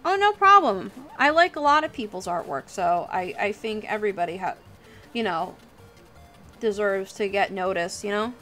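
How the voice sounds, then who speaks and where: A woman's voice: medium pitch, lively intonation, normal speed, bright, forceful tone. Female livestreamer, informal and encouraging, talking off the cuff over background music. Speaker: livestream host and digital artist. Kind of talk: casual livestream chat while drawing. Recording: music playing behind the voice.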